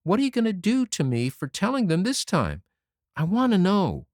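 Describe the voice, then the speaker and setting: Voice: big pitch swings, normal speed, darkish tone, no echo, clean studio sound, lightly acted. A reader voicing a mischievous six-year-old boy who pleads and questions eagerly, in an audiobook. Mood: curious. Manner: high, eager childish pleading. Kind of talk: audiobook character voice.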